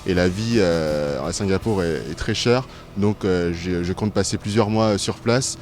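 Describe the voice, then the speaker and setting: A man's voice: sits low, moderate pace, a little mellow, faint music behind. A man in his twenties, a student answering interview questions, hesitant with many fillers. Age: 20s.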